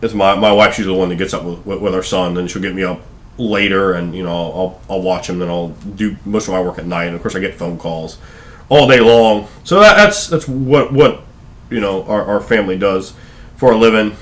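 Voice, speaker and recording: Low-voiced Southern man rambling to camera. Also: a little fast, a bit bright. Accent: Southern US (Virginia). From Virginia, USA. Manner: rambling, many fillers and restarts. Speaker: vlogger. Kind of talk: casual talking-head vlog. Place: living room at home.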